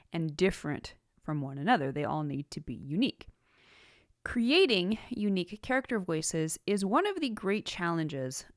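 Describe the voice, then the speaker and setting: A woman hosting an instructional podcast, reading scripted material in a measured, deliberate way with emphatic phrasing. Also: mid-range voice, no room sound, studio quality. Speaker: podcast host, writing teacher.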